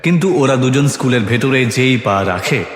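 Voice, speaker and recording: Man, horror story narrator, scripted delivery, mid pitch, long echoing reverb. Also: animated, moderate pace. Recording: echoey, long hall-like reverb.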